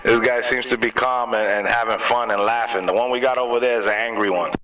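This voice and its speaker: A man's voice: medium pitch, steady tone, fast. Laid back new guy on a cb, sounds far off, tons of static, slangy chat. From Harlem, New York City. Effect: two-way CB radio. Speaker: visiting CB radio operator. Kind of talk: casual radio chat. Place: parked vehicle. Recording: noisy, distant-sounding CB radio transmission.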